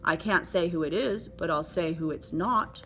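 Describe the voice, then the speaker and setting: A woman's voice: lowish voice, moderate pace, fairly bright tone, slight background noise. A woman reading as a devoted, secretive male toad suitor in a children's storybook. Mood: firm, secretive.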